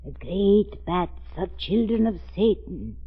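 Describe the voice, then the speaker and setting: A woman's voice: medium pitch, big pitch swings, slow pace, breathy edge, full character voice. An actress playing a grim, fearful servant woman in a 1930s radio drama, speaking with ominous foreboding. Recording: tinny, narrow 1930s radio recording.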